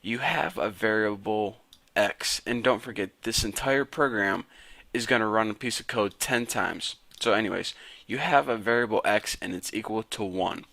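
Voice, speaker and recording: A raspy-voiced young man casually teaching a programming lesson to viewers. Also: fairly low pitch, normal speed, bright, forceful tone, no room sound. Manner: casual, chatty, talks to viewers as guys. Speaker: programming tutor. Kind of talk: casual screen-recorded coding tutorial.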